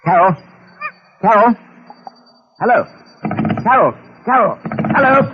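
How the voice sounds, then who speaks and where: An actor playing a brisk, commanding private detective in a vintage radio drama. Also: male voice, high-pitched, expressive. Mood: alarmed. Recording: dull, noisy old radio recording.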